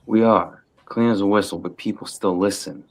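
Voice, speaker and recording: A man reading the part of a tough, watchful, gruffly protective uncle from a crime family at a film table read. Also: on the low side, fairly even intonation, normal speed, close to an everyday voice. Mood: guarded. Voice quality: gravelly.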